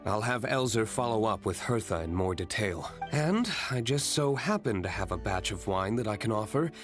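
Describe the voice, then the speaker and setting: A man's voice: lowish voice, lively intonation, moderate pace, soft background music, lightly acted. An actor playing an aloof young male winery master in a video game, speaking in a curt, dry, reserved manner. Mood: dry, resigned. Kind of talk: video game character dialogue.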